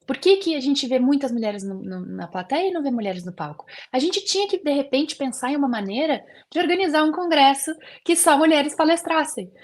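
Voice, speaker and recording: A woman's voice: sits high, expressive, brisk, few pauses. A woman, a guest dentist talking earnestly in an interview, often using fillers. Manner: earnest, many fillers like "assim". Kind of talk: casual interview chat.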